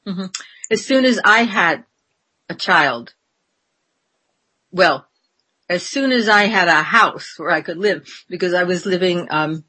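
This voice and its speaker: A woman in her seventies with a somewhat low, husky voice. She talks in a meandering, reflective way, circling back on her thoughts with ums and restarts. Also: animated, slow, pauses often, pushed and bright. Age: 70s. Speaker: interviewee. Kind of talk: reflective conversational interview.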